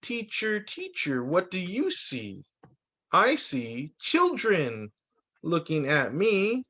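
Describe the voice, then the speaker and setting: A man with a slightly high voice reads storytime books for young children. He goes very slowly and deliberately, with a lively, swooping melody. His phrasing is sing-song, like a teacher leading small listeners through each line.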